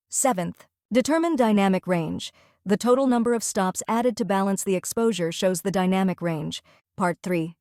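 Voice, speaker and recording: A woman's voice: mid-range voice, a little mellow, dry recording, studio quality. A woman student reading out a scripted explainer presentation step by step.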